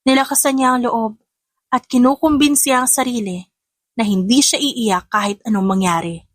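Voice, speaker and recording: A woman with a fairly high voice narrates a scripted story. Her reading is dramatic storytelling. The recording is dry, with no room sound. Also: steady tone, normal speed, dry recording.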